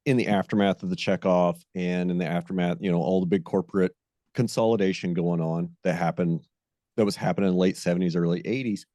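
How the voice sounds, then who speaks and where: A man's voice: low-pitched, steady tone, mellow, dry recording, studio quality. A man hosting a ranching podcast, talking in a loose, rambling way and often restarting his phrases. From American. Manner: rambling, repeats and restarts phrases, drawn-out emphasis. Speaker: podcast host. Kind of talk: casual interview chat.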